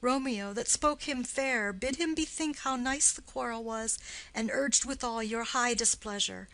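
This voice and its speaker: Woman acting loyal young man, earnest messenger, declamatory, forceful bright tone, classical drama. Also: fairly high pitch, unhurried, rough recording. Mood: solemn. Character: loyal young male friend, urgent bearer of bad news. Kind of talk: classical stage-play reading.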